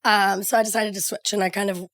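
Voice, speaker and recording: Hoarse, raspy Southern woman from Georgia, chatty podcast guest, close dry sound. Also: mid-range voice, fast talker, no room sound. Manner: lively, chatty, laughs easily. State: hoarse from a cold. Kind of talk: casual chat.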